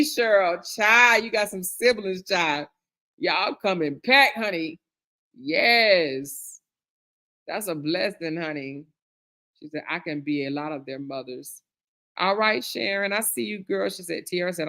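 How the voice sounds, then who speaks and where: A middle-aged woman hosts a casual live stream, reading out viewer comments one after another. She answers each one with lively, familiar reactions, calling viewers girl, honey and child. Her pitch sits in an ordinary middle range. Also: slow pace. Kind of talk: casual chat.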